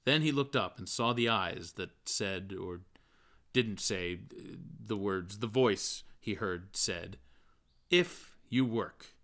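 A man's voice: deep voice, takes it slowly, bright, forceful tone. A man reading literary fiction aloud as audiobook narration. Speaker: audiobook reader.

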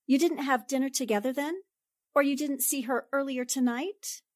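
A woman's voice: high voice, steady tone, darkish tone, no echo, clean studio sound, close to an everyday voice. A woman playing a poised, inquisitive newspaper reporter who speaks politely and precisely, in 1940s radio drama style. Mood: probing. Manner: polite, precise, deliberate questioning.

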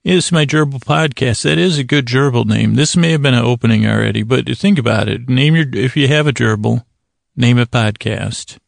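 Really creaky guy doing a rambly sleep podcast, halting, keeps repeating himself, dry close sound. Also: mid-range voice, no room sound. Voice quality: gravelly, creaky. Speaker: podcast host. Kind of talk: rambling bedtime monologue to help listeners sleep.

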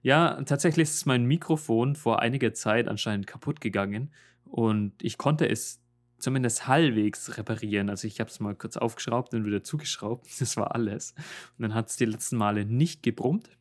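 A young man with a Franconian accent, co-hosting a casual podcast from a home mic over a video call, easygoing and quick to laugh. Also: mid-range voice. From Franconia, Germany. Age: young adult. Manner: easygoing, laughing, a little hesitant with fillers. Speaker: podcast co-host, language student. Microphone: home mic through an audio interface over video call.

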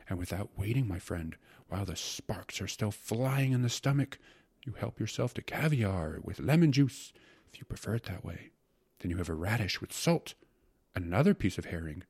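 A man voicing a gluttonous, syrupy court secretary in a storybook reading, breathy and savoring every word as he wheedles and repeats himself. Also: mid-range voice, darkish tone, dry recording, pitched above the performer's own voice. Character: gluttonous, syrupy male court secretary rhapsodizing about food.

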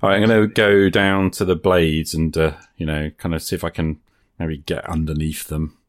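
Low-voiced man playing a tabletop game. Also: level tone, normal speed, no echo, clean studio sound. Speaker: player in an improvised tabletop game. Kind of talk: casual chat, improvised roleplay.

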